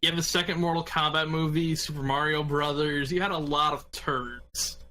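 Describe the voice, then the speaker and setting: A man's voice: a little high, fairly even intonation, moderate pace, pushed and bright, a little hiss or hum. A man in a casual podcast discussion who talks bluntly and with crude language. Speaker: podcast panelist.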